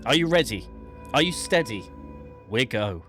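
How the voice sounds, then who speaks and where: Guy doing jokey game commentary, kinda theatrical, normal pitch voice, music in the background. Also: big pitch swings, unhurried, fairly bright tone. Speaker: game streamer presenting a first look. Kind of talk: casual playthrough commentary mixed with dramatic reading of on-screen narration.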